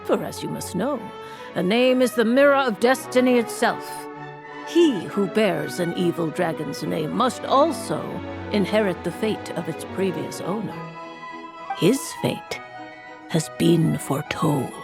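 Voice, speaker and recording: A woman's voice: on the low side, animated, unhurried, creaky, over music. A woman playing a solemn, sorrowful seer witch in a video game, speaking in grave, formal, archaic phrases. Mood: grave, foreboding. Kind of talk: theatrical video game storybook acting.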